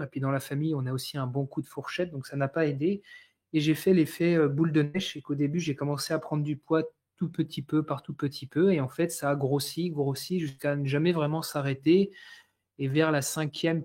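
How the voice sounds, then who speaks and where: A man in his thirties from France, a guest sharing his life story in a live online talk, speaking with many pauses and restarts. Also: a little high, darkish tone. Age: 30s. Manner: hesitant, many fillers and restarts.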